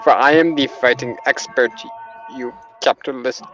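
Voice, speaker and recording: A man's voice: mid-range voice, steady tone, normal speed, a bit bright, close to an everyday voice. A man playing a bossy, pompous Soviet commander with a put-on Russian accent, making threats. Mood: stern, indignant. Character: menacing, bossy Soviet commander denouncing capitalists. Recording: muffled lo-fi mic with music behind.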